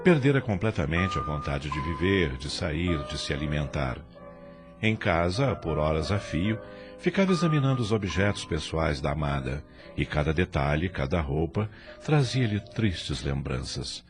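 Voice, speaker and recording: A man's voice: very deep voice, big pitch swings, a little slow, over music. A man narrating a scripted audio drama in a solemn storytelling style.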